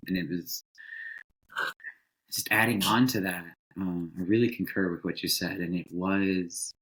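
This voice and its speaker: A man on a podcast, speaking calmly and in a measured, affirming way. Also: low-pitched, rough recording. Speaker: podcast co-host. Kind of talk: casual podcast conversation.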